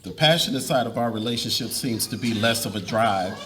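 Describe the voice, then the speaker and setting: A man's voice: lowish voice, fairly quick, a bit bright, a little hiss or hum, natural voice. A man playing an earnest husband and father in a dramatic reading, explaining and reassuring as he confesses. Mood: confessing.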